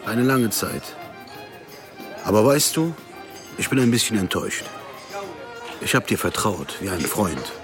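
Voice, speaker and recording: A menacingly calm male moneylender in a radio drama. His low voice is measured and fatherly, and music plays clearly behind him. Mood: reproachful. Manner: measured, paternal, veiled threats.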